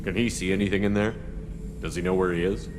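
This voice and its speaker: An actor playing a military starship commander in a video game, speaking in a firm, direct, controlled manner. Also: male voice, low voice, fairly even intonation, fast, lightly acted. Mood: businesslike. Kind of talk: video game character acting. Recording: long reverb, clearly noisy backdrop.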